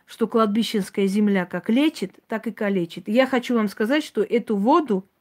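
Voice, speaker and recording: Female host for an informal talk, medium pitch, plain-spoken and opinionated, conversational delivery. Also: moderate pace. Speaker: host, self-described witch. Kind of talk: informal talk to live audience, answering comments.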